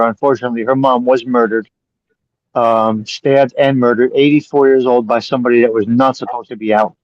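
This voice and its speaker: A man's voice: mid-range voice, no room sound, highs cut off. A man hosting a casual talk show who rambles, hedges and repeats himself as he thinks aloud. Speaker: podcast host. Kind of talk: casual conversation.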